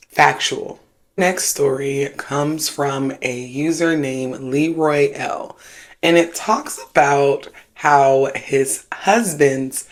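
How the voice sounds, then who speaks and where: A host with a creaky voice and a sassy, slangy, emphatic way of talking, giving casual spiritualist commentary and storytelling. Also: mid-range voice. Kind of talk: casual commentary with read-aloud paranormal stories.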